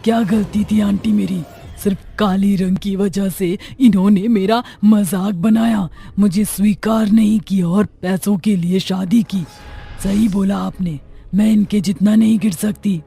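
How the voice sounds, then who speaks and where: Gravelly, vengeful ghost witch. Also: mid-range voice, steady tone, a little slow, dark tone, faint music behind. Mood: hurt, stern. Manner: menacing, accusing, dramatic. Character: vengeful ghost witch of a wronged young wife. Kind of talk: Hindi cartoon horror story acting.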